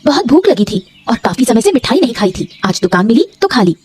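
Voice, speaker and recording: A woman's voice: a little high, big pitch swings, a little fast, darkish tone, slight background noise. A woman voicing a dramatic, emphatic female character in a moral-story cartoon. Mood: indignant. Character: animated storybook women: princess, wife, sweet seller.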